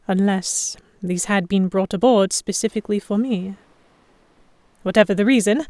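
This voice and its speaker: A woman playing a stubborn, sharp-tongued captive of pirates, narrating an audio drama in the first person. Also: mid-range voice, no room sound, clean studio sound, natural voice.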